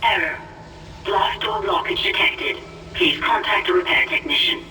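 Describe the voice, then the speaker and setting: A woman's voice: mid-range voice, moderate pace, close to an everyday voice. A woman voicing an impassive ship computer, making procedural automated announcements in a video game. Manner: flat, procedural. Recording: distant echoey ship speaker, faint noise.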